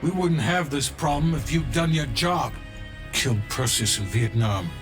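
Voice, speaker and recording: An actor playing a guarded, unrepentant CIA official in a Cold War video game, speaking in a cold, measured, defensive way. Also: male voice, mid-range voice, expressive, music in the background, close to an everyday voice. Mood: cold defiance. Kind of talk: video game cinematic acting.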